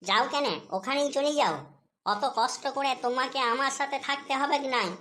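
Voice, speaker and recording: Nagging wife for cartoon comedy, female performer: high voice, scolding, sarcastic, suspicious, always offended. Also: a little fast, bright, forceful tone. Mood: scolding, aggrieved. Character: nagging, suspicious, quick-to-take-offence Bengali housewife.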